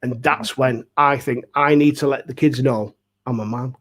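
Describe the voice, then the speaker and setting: A man's voice: mid-range voice, steady tone, moderate pace. A middle-aged man, telling anecdotes casually and self-deprecatingly as an interview guest. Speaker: pantomime dame performer, interview guest.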